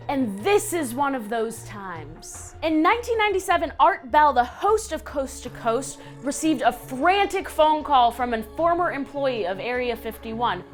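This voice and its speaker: A woman with an American accent hosting a scripted comedic countdown of creepy stories, theatrical, sardonic and slangy. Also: high-pitched. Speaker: host of a web countdown show.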